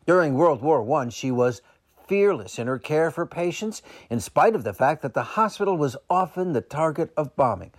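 A man's voice: a little high, expressive, normal speed. A man reading scripted radio narration as an announcer. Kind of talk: scripted narration, radio weather and saint of the day.

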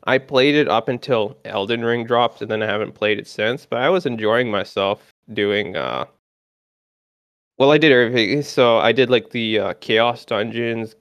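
A man's voice: mid-range voice, moderate pace, fairly bright tone, smooth, dry recording. A man, a podcast guest talking in a chatty, rambling way full of fillers. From Canada. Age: 20s. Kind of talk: casual gaming podcast chat.

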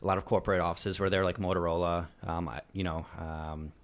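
A man, a dentist, a guest on a casual podcast, telling stories in a relaxed way. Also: low-pitched, brisk. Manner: storytelling, relaxed.

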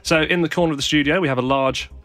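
Deadpan radio host. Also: male voice, a little high, fast. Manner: deadpan, mock-serious. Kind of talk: comedic radio chat.

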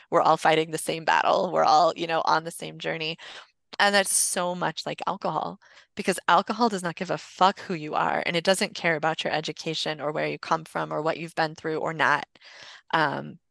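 Female podcast guest, forties, lowish bright voice, rambling conversational storyteller, close dry sound. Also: vocal fry, dry recording. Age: 40s. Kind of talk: casual personal storytelling.

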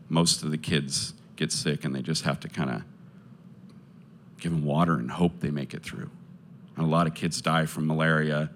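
Low-voiced man giving an earnest testimony. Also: slight background noise. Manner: earnest, hesitant, many fillers and restarts. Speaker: speaker sharing testimony and orphanage appeal. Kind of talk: casual testimony talk to a live audience.

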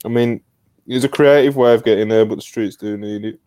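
British guy hosting a podcast, kinda low voice, dead dry mic, chill and laughing. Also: fairly even intonation, fairly quick, warm tone, no echo. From England. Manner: laid-back, laughing asides. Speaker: podcast host. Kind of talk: casual chat reading comments. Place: home setup on a video call.

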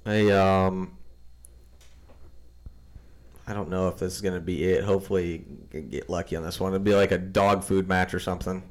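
A man playing a casual trivia game with friends, joking and thinking out loud. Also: deep voice, steady tone, moderate pace, pauses often. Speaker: quiz contestant.

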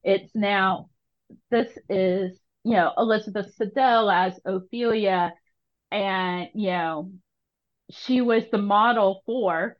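A woman's voice: medium pitch, steady tone, slow pace, muffled recording. A woman hosting a casual conversational interview, thinking aloud in a wordy, hesitant way. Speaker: podcast host.

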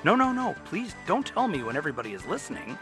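A man's voice: on the high side, big pitch swings, fast. A man announcing a radio drama in a theatrical, playful manner, reading scripted narration. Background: music.

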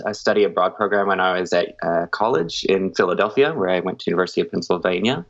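A man from Oklahoma with a very deep voice, chatting loosely as a podcast guest and filling his pauses with ums and uhs. The sound is dull and lo-fi, like a remote call. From Oklahoma, USA. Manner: chatty, laughs easily, many ums and uhs. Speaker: podcast guest, quilt maker. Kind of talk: casual interview chat. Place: home in Sydney, remote call.

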